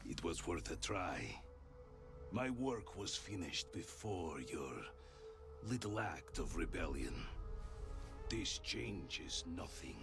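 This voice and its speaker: A menacing Soviet villain in a video game cutscene. He speaks low and slowly, with a gravelly grain and mocking, grandiose bitterness. Music plays clearly behind him. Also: lively intonation, exaggerated voice. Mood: cold, resigned. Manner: slow, sinister, grandiose, mocking. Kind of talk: dramatic game cutscene acting.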